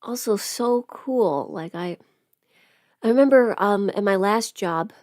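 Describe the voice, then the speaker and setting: A woman's voice: mid-range voice, slow pace, a little mellow, no echo, clean studio sound. A woman livestreaming in casual, rambling chat as she does her makeup, informal and mildly sweary. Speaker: livestream host.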